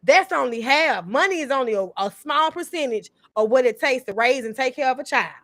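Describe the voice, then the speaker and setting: A woman's voice: high voice, lively intonation, brisk, fairly bright tone, no room sound. Blunt, profane woman in her thirties. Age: 30s. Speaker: podcast panelist. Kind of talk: casual chat.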